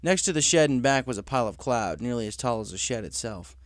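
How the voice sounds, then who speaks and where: Clear, smooth male story narrator. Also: mid-range voice, animated, fast, no room sound, studio quality. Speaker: story reader.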